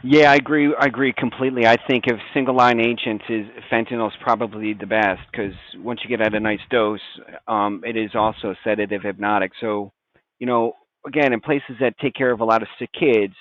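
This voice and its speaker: A man's voice: fairly low pitch, steady tone, brisk, no echo. American man, casual expert, slightly dull audio. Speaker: medical expert podcast guest.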